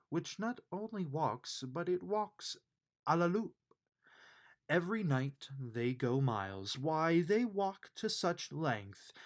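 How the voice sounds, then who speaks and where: Slow, lively man reading a bedtime storybook. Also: medium pitch. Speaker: reader of a children's book. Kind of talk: read-aloud of a rhyming bedtime storybook.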